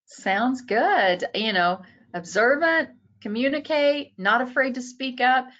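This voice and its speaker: A woman's voice: sits high, animated, takes it slowly, fairly bright tone, dull audio. A woman moderating a webinar panel who asks long questions and fills them with "you know" and "uh". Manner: many fillers, you know and uh.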